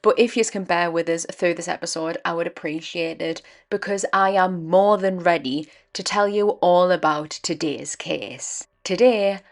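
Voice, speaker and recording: A young woman hosting a true crime podcast, her voice croaky and dry from a cold, telling the case in an earnest, measured way. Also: on the low side. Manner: earnest, measured storytelling with emphatic stresses. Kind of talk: scripted true crime narration.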